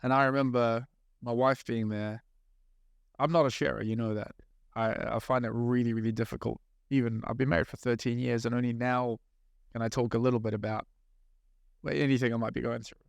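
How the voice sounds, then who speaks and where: A man in his thirties with a New Zealand accent speaks at a middle pitch with a gravelly edge, earnest and reflective as he asks probing questions. The recording is close and dry, right at the mic. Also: flat delivery, brisk, no room sound. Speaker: podcast host. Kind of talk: casual interview chat.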